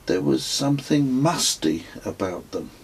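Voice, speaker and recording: A middle-aged man reading his own reflective travel memoir as an audiobook, in a measured, literary and wry manner. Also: mid-range voice, very animated, creaky, breathy edge.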